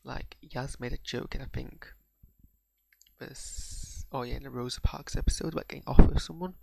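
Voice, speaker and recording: A man's voice: medium pitch, steady tone, normal speed, vocal fry, noisy background. A young man whose accent mixes Bradford and Pakistani speech, giving a hesitant, unscripted reaction review and often repeating words as he gathers his thoughts. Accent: mixed Bradford and Pakistani. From England. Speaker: video reviewer.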